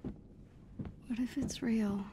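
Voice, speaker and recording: A young woman playing an insecure, awkward teenage superhero fan in a video game, halting and self-doubting, with a hushed, breathy delivery. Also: fairly high pitch, fairly even intonation, noisy background. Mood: anxious, vulnerable. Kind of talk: naturalistic video game acting.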